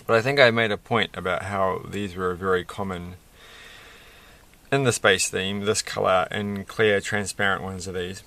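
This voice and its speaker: A middle-aged man with a croaky voice, chatting casually and haltingly through a hobby build, often searching for words. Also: sits low, fairly even intonation, pushed and bright. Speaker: Lego build video creator. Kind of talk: casual hobby vlog, unscripted commentary while building. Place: indoors at a desk.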